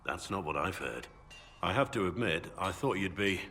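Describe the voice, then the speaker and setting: An actor playing a gruff, brooding veteran warrior in a video game, speaking in a blunt, earnest way. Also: male voice, low voice, lively intonation, normal speed, pushed and bright, slight background noise. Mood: subdued, reflective. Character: gruff, brooding bearded veteran warrior, a principled Grey Warden. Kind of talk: video game dialogue acting.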